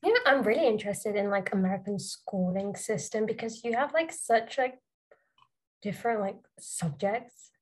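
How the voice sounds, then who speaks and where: A woman's voice: mid-range voice, normal speed. A young British woman, a university student, chatting casually with lots of fillers. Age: young adult. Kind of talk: casual chat.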